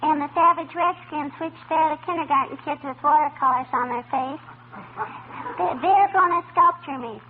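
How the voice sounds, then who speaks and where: Very high-pitched chatty little girl played by a woman. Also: moderate pace, close to an everyday voice. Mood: eager, excited. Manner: childish, breathless storytelling with mangled words and verbal tics. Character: chatty, mischievous little schoolgirl, cheerfully persistent. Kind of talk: 1940s radio comedy acting. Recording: tinny, noisy old radio recording.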